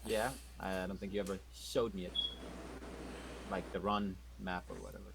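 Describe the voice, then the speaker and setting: A man playing in a tabletop game session, blunt and profane, asking quick rule questions. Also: low-pitched, level tone, vocal fry, background noise. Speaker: player. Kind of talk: casual tabletop game chat.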